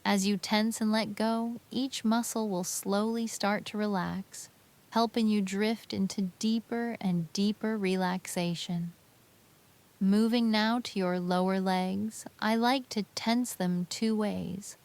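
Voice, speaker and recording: A woman narrating a scripted guided sleep meditation, giving gentle, soothing, unhurried instructions. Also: medium pitch, steady tone.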